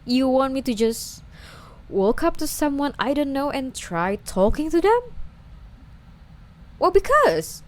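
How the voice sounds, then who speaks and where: A woman's voice: high voice, very animated, slow, pauses often, exaggerated voice. A woman playing a lovesick, obsessive young stalker under interrogation in an ASMR roleplay, with a coy, wheedling, hesitant delivery. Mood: flustered, indignant.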